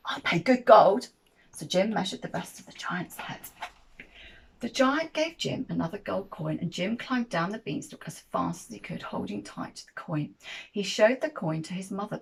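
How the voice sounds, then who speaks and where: A woman reading a children's picture book aloud as an expressive storyteller. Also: mid-range voice, normal speed, gravelly, slightly rough, low-quality recording.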